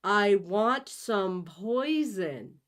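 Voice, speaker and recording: A woman's voice: medium pitch, animated, very slow. A woman voicing a dramatic, pushy young lovestruck hero in a comic storybook reading, with slangy, bossy delivery. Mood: impatient, pushy. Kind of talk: comic storybook read-aloud.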